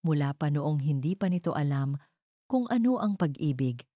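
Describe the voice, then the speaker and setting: Woman, deep register, dry close sound, measured dramatic narration, emotive. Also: expressive, dark tone, no echo. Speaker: storyteller. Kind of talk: dramatic scripted narration of a Tagalog love story.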